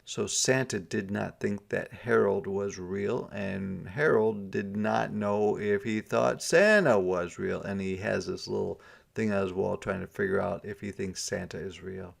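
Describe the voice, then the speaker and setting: A man reading a storybook aloud to children as a teacher, pausing to ask questions and explain things simply. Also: on the low side, creaky. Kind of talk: interactive storybook read-aloud.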